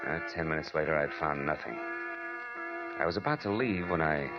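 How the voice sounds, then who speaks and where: A hardboiled american private eye, low voice, dry slangy wisecracks, old muffled radio drama. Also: male voice. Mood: wry, brooding. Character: cynical hardboiled private detective, adult man, wisecracking. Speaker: narrator and lead actor. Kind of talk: 1940s radio detective drama acting and first-person narration. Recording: muffled vintage radio broadcast with music.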